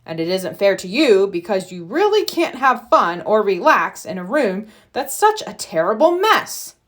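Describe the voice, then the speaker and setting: A woman's voice: medium pitch, sing-song, unhurried, a bit bright. A woman voicing a practical, authoritative father bear who reasons firmly in a storybook. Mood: stern. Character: firm, practical father bear taking charge.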